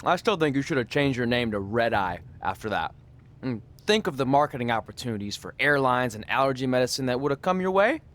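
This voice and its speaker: A male actor as a tactless comic wrestler in a game cutscene. His intonation is animated and bouncy, at a medium pitch. He rambles flippantly like a lovable goof. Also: a little fast, close to an everyday voice. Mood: goofy.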